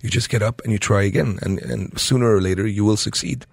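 A man's voice: lowish voice, fairly even intonation, quick pace, vocal fry, slightly rough, no room sound. A man co-hosting a studio interview who often stumbles and repeats his words. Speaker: radio co-host. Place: radio studio.

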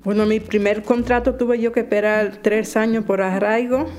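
A woman in her sixties with a Dominican Spanish accent, giving halting interview testimony full of restarts. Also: medium pitch, steady tone, music in the background. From Dominican Republic. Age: 60s. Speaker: interviewee, domestic worker.

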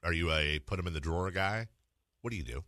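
A man's voice: low-pitched, fast, sharp tone, no echo, studio quality. A man hosting a sports radio show, putting conversational questions to a guest.

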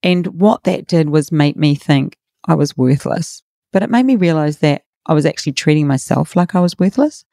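A middle-aged woman with a raspy, hoarse voice, hosting a motivational podcast in an earnest, encouraging way. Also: deep voice, animated, normal speed, few pauses, warm tone, no echo, studio quality. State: hoarse from a workshop. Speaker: podcast host and coach.

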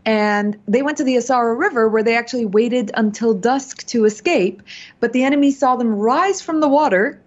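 Chatty woman reporting, slightly high voice. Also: normal speed, a little mellow, lo-fi sound. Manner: explanatory, with frequent fillers. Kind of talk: radio segment chat.

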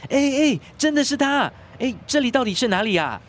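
Anguished young husband, swinging wildly in pitch. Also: male voice, big pitch swings, brisk, fairly bright tone, heavily acted. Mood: urgent. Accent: Singaporean. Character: distraught young husband, anxious, impulsive, remorseful. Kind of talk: radio drama acting.

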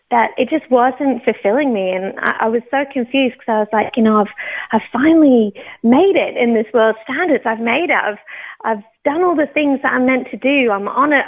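A middle-aged British woman shares her personal story as an interview guest. Her voice sits a little high and comes through a thin, phone-like connection. She talks in a conversational, reflective way. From United Kingdom, raised in England and Scotland. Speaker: guest, worship pastor. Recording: phone-like narrow band sound.

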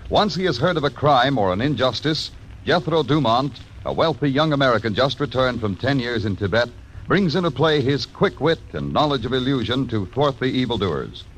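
A man announcing for a 1940s radio network with a lively, formal cadence. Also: mid-range voice, moderate pace, rough recording. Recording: old radio broadcast, faint hiss.

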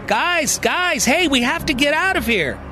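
A man's voice: very high voice, sing-song, unhurried, pushed and bright, slight background noise, pitched above the performer's own voice, heavily acted. A man voicing an exasperated, stubborn character stuck as a ten-year-old girl in an audio fiction story, complaining insistently. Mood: urgent.

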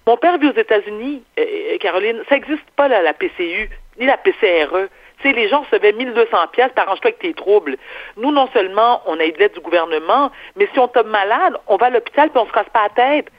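A woman's voice: medium pitch, expressive, fast talker, dry recording. A woman with a Quebec French accent speaking assertively as a radio columnist, chatting casually and repeating phrases for emphasis. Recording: dull, lo-fi phone or remote link.

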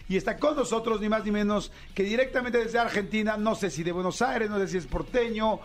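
High-pitched chatty Mexican host. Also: male voice, fairly quick, soft background music. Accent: Mexican Spanish. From Mexico City. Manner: chatty, enthusiastic, slangy. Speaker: podcast host. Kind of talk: casual radio interview.

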